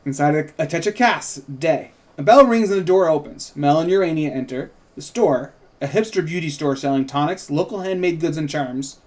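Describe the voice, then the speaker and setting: Higher-pitched man at a script read. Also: normal speed, hardly any pauses. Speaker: writer and co-host. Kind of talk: table read with casual commentary.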